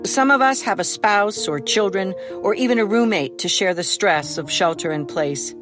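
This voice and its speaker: A woman reading a scripted introduction to an audio drama as its author, in a measured, earnest way. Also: medium pitch. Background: instrumental music.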